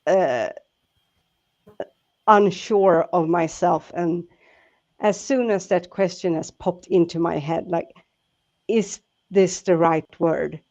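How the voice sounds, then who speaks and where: A woman's voice: lowish voice, steady tone, takes it slowly, pauses often, darkish tone, lo-fi sound. A woman with a Swedish accent, chatting casually and laughing often as she searches for words. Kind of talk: casual group chat on a live stream.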